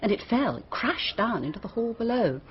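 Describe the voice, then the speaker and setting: A woman's voice: fairly high pitch, sing-song, fairly quick, dull audio, a little hiss or hum. A woman playing a prim, guarded English schoolteacher in a radio drama, proper and defensive, with an English accent. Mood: recounting, uneasy.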